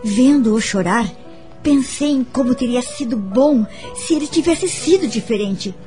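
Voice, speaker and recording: A woman playing a gentle, wounded but forgiving adult daughter who recounts her family past in a radio drama, measured and heartfelt. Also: fairly high pitch, lively intonation. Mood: sorrowful, reflective. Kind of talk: dramatised first-person narration, radio drama. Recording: background music under the voice.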